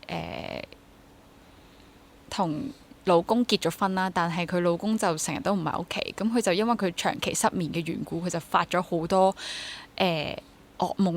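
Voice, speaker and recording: A woman's voice: fairly low pitch, slight background noise. A young adult woman with a Hong Kong accent chats casually and reflectively as an interview guest, mixing in English words. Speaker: interview guest, theatre actor.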